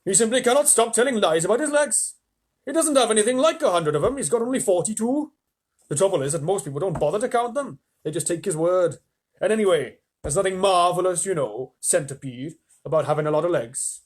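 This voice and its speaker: A man's voice: high-pitched, expressive, fairly quick, voice pushed up. A man voicing a prim, indignant male earthworm who speaks precisely.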